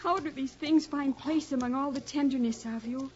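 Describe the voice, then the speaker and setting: A woman's voice: high-pitched, normal speed, close to an everyday voice. A woman in a 1950s radio drama playing a sorrowful, devoted woman with solemn, lyrical delivery. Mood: mournful. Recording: dull, noisy 1950s radio recording.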